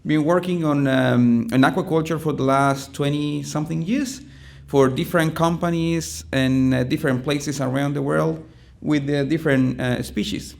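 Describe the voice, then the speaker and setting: A middle-aged man from Chile with a Chilean Spanish accent, giving a conference presentation in a halting way, with many ums and restarts. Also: fairly high pitch, steady tone, creaky, a little mellow. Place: conference room.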